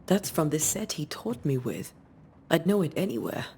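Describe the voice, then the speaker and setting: An actress playing a wistful, wryly teasing veteran ghost hunter in a video game, with a measured, reflective delivery. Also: female voice, low voice, animated, a little mellow, slight background noise. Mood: fond, teasing. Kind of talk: cinematic game dialogue.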